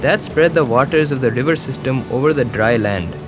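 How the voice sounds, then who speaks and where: A man voicing a calm young schoolboy narrator. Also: medium pitch, close to an everyday voice. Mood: earnest. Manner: calm, measured first-person storytelling. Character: young village schoolboy telling his story. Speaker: documentary narrator. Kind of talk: scripted documentary voice-over. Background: music.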